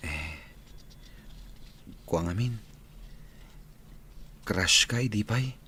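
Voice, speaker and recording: A man's voice: fairly low pitch, expressive, very slow, background noise. Man playing earnest teenage village schoolboy. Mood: hopeful, awkward. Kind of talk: comedy radio drama acting.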